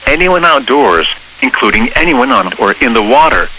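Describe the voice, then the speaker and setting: A synthesized male voice reading scripted emergency weather warnings in a formal, flat manner as a radio announcer. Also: mid-range voice, moderate pace. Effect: synthesized text-to-speech voice. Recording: noisy weather radio broadcast.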